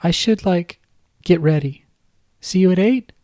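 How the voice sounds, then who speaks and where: A man's voice: unhurried, darkish tone, dry recording, clean studio sound. A man faking a babyish teen girl voice. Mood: coy. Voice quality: babyish, high, hint of manliness. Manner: hesitant, lots of um and like.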